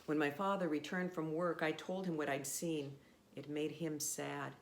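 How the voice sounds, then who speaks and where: Low-pitched woman reading a storybook, noisy recording. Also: steady tone, moderate pace. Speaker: pastor reading a children's story. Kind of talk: storybook read-aloud for children.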